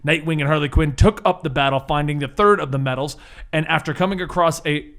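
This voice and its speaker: Higher-voiced man running a tabletop game. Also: quick pace, fairly bright tone. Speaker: game master and host. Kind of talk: hosting a tabletop superhero game, explaining rules and narrating.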